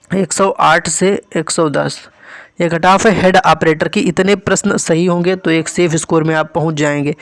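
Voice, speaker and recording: A man's voice: a little high, dry recording, clean studio sound. A man teaching as an exam coach, explaining score figures to students in an instructional way and repeating key points. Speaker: exam coach and channel host.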